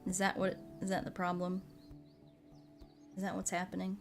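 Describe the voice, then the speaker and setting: A woman's voice: on the low side, completely flat delivery, moderate pace. A woman livestreamer chatting casually in a playful manner, often repeating her phrases for comic effect. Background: background music.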